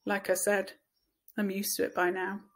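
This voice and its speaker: A woman's voice: medium pitch, monotone, moderate pace. A woman reading a children's novel aloud as first-person scripted narration, like a teacher reading to pupils.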